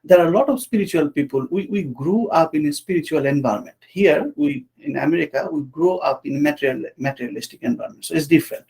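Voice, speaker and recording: Male host from Bangladesh, husky, raised voice, halting, earnest. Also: fairly high pitch, darkish tone. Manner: earnest, halting with restarts and fillers. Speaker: podcast host. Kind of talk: conversational interview.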